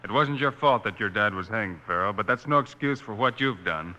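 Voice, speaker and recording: An American actor playing a stern, steadfast marshal, the honorable hero of an old-time radio western. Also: male voice, on the low side, lively intonation, a little fast, pushed and bright. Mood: regretful. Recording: dull, hissy old radio recording.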